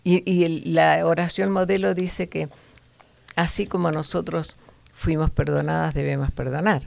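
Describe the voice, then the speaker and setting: A woman gives an earnest Bible teaching, stopping often to restart a phrase. Her voice is low and gravelly. The sound is close and dry. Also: fairly even intonation, normal speed, a little mellow, no echo. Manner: earnest, preachy, with fillers and restarts.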